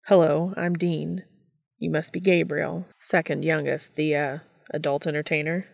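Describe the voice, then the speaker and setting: Woman playing a smooth confident guy, easygoing, kinda low and gravelly. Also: flat delivery, measured pace, a little mellow, dry recording, studio quality, heavily acted. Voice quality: easygoing and self-assured. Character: calm, confident, charming young man.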